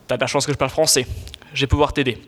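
A man's voice: medium pitch, little pitch movement, racing, fairly bright tone, natural voice. A young man in his teens playing an exasperated, sardonic squire in a student audio drama, grumbling in a slangy way. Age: teenager.

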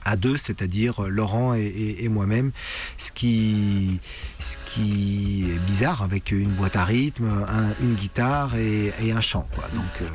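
Low-voiced Frenchman reminiscing over loud music. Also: fairly even intonation. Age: middle-aged. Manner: halting, searching for words with repetitions. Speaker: punk musician interviewee. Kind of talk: reminiscing in a documentary interview.

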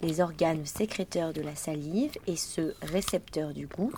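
Woman reading a definition out loud, lowish voice, bit of noise on it. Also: measured pace. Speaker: narrator. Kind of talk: reading a dictionary definition, radio sound piece.

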